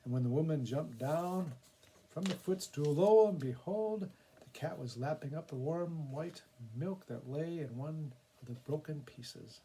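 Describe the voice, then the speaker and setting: A man reading a children's story aloud with an expressive storyteller's emphasis. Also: fairly high pitch, a little slow, slight background noise, rough recording. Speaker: volunteer story reader.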